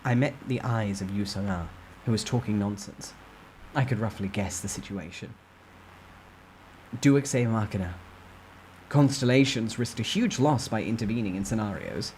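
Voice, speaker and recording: A man with a low voice narrates a fantasy tale in the first person. He reads dramatically and with suspense, like a storyteller at work. There is some background noise on the recording. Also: a little mellow, low-quality recording.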